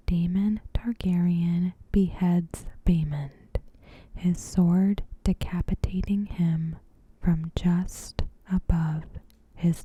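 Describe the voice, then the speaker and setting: Whispering woman, very slow ASMR narration. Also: fairly low pitch, slight background noise. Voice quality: soft, whispery, breathy. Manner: gentle, hushed, deliberate. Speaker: ASMR host. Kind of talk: ASMR scripted episode recap narration.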